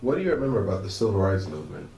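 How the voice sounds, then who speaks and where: Male interviewer, deliberate questions, lowish voice, far from mic, echoey room. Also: very animated, dark tone. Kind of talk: oral history interview questions. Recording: echoey room, distant from mic, faint noise.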